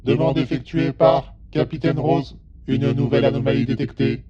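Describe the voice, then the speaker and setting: A man playing an emotionless spaceship computer in an audio drama, announcing reports in a flat robotic manner. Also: mid-range voice, moderate pace, vocal fry, highs cut off, over a noisy crowd, lightly acted.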